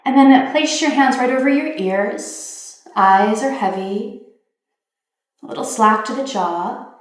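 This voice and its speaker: A woman leading a guided meditation, giving gentle, soothing instructions in an unhurried way with pauses. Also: on the high side. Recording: very echoey, reverberant room.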